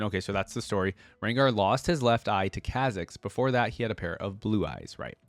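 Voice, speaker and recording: A man's voice: on the low side, no room sound. A man giving casual, informal reaction commentary on a video game, talking directly to viewers.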